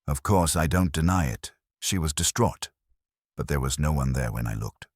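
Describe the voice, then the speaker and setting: A man's voice: very deep voice, animated, normal speed, breathy edge, no room sound, studio quality, full character voice. A man voicing a stiff, evasive male suspect in a detective audiobook, defensively denying everything. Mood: smooth denial. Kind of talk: audiobook detective story dialogue.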